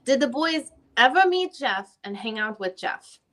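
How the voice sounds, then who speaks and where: A woman's voice: a little high, big pitch swings, measured pace, fairly bright tone. A woman reading the part of a persistent defense lawyer questioning a witness in a dramatic reading of a deposition, often rephrasing her questions. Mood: pressing, methodical. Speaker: reader playing a deposing attorney.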